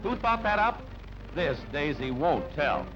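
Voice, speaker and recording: Lively high-pitched male newsreel narrator, muffled. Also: unhurried. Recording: band-limited old newsreel, music underneath. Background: background music.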